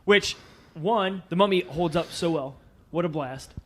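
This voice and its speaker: A man with a slightly high voice talks in a casual, conversational way as a podcast co-host. He sounds like he is discussing a film with friends, loose and informal. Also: unhurried.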